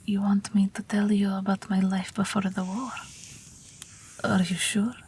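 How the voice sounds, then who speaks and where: A woman playing a gentle, wry, protective night witch in an intimate audio roleplay, speaking softly and breathily, often near a whisper. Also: mid-range voice, level tone, a little hiss or hum, natural voice. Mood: tender, protective. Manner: slow, soft, tender reassurance.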